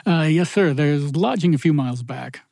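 Creaky-voiced polite policeman read by a man. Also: a little high, lively intonation, normal speed, darkish tone, no echo, clean studio sound. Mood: official. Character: polite, matter-of-fact male police officer. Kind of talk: live table read of a TV drama script.

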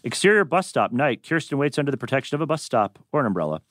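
Lively male narrator with a dry, close sound. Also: mid-range voice, fast talker, no echo. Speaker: narrator reading stage directions. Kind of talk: animated script reading.

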